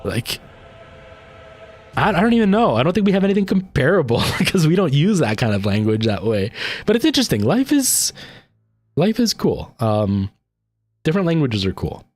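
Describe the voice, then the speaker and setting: A man's voice: on the high side, very animated, normal speed, pauses often, a little mellow. A man from Canada with a light Canadian accent, chatting casually with viewers on a livestream, rambling off on tangents and answering viewer comments.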